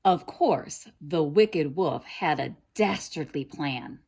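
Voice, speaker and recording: A woman narrating a children's story in a dramatic, deliberate, expressive way. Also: deep voice, gravelly, slightly rough. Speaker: storybook reader.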